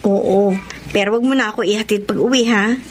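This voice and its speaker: A woman's voice: mid-range voice, fairly even intonation, fairly quick, lightly acted. A woman acting a coy, evasive young girlfriend in a Tagalog radio drama. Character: Ann, evasive young girlfriend with a secret admirer. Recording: background noise and scene ambience.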